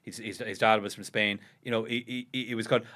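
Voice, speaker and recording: Fast-talking Irish man, halting restarts. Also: fairly low pitch, steady tone, a bit bright. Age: under 50. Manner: fast, halting, many restarts and "you know". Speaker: podcast co-host. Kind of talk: casual history discussion.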